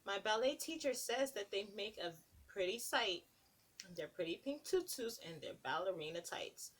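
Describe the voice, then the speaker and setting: A woman's voice: mid-range voice, animated, moderate pace. A woman preschool teacher reading a picture book aloud to young children, stopping to ask them questions. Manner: sing-song, asks children questions between pages.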